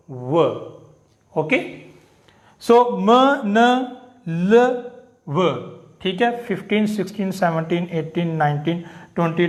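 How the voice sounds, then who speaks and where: A man teaching an online English phonetics class, slowly repeating each sound and example phrase. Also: high-pitched, roomy sound.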